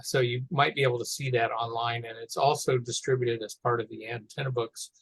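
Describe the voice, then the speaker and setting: A man commenting casually on a teleconference, talking in a halting, rambling way. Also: mid-range voice, steady tone, vocal fry, a little hiss or hum, poor audio. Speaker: meeting participant.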